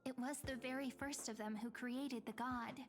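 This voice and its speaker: High, girlish, gentle guide, played by a woman. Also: fairly even intonation, moderate pace, lightly acted. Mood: helpful. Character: gentle girlish guide to other worlds, patient and hopeful. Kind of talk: scripted video game voice acting.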